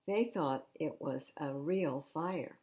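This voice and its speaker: A woman reading a picture book aloud to children at storytime, expressively, pausing to explain the pictures in simple words. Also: low-pitched, warm tone, slight background noise. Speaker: library storytime reader.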